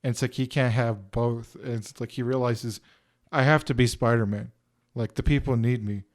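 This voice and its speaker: A man's voice: mid-range voice, steady tone, moderate pace. A man in his twenties co-hosting a podcast, rambling casually and filling his talk with "like" and "you know". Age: 20s. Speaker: podcast co-host. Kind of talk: casual film review chat.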